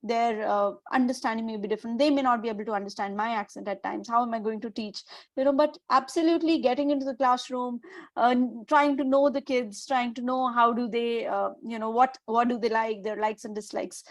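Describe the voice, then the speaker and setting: A woman's voice: a little high, fairly even intonation, brisk, dry recording. A woman teacher from India, speaking in a webinar with frequent hesitations and fillers. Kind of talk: webinar discussion.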